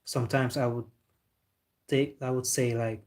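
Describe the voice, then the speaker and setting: A man's voice: mid-range voice, flat delivery, unhurried, darkish tone. A young man hosting a football live stream, chatting casually with viewers in a loose, laid-back way. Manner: loose and chatty, calls viewers bro, talks with a laugh.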